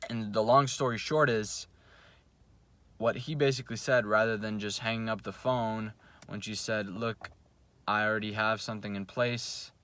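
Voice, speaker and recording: Low-voiced profane young man, dry sound. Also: fairly bright tone, dry recording. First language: Russian. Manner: blunt, profane, self-coaching. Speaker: vlogger. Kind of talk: casual motivational monologue. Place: home, at night before bed.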